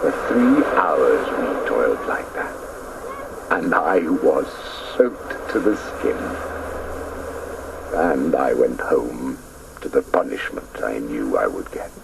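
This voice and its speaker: An actor playing a grown man who recalls his boyhood in a wistful, measured first-person radio drama narration, with a gravelly voice. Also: very animated, recorded from a distance, heavily acted. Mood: wistful recollection. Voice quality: deep, gravelly. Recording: noisy old radio broadcast recording.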